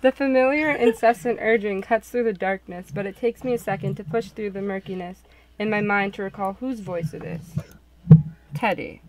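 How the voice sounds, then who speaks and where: A woman reading expressive scripted narration for a visual novel. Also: mid-range voice, moderate pace, rough recording. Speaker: narrator and game developer. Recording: clicks and clear background noise.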